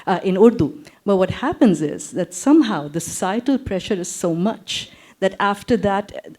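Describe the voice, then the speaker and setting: A woman's voice: medium pitch, mellow. A woman, an academic making an impassioned, emphatic case on a panel and repeating phrases for weight. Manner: emphatic, repeats phrases, mixes English and Urdu.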